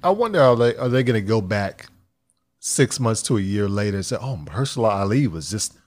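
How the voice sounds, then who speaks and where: Man, somewhat low voice, podcast host, relaxed joking chat. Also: brisk.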